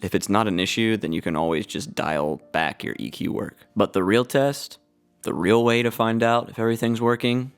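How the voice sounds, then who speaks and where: A man's voice: on the low side, steady tone, normal speed, smooth. A man who works as a sound engineer, narrating a scripted explainer as a voice-over.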